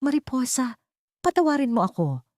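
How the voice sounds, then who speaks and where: A woman's voice: high voice, very animated, normal speed, a little mellow, dry recording, clean studio sound, voice pushed up. A woman voicing an anguished, remorseful father in an emotional, pleading way for an audio drama. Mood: broken remorse. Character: anguished man in wheelchair, remorseful father, fiercely resolute.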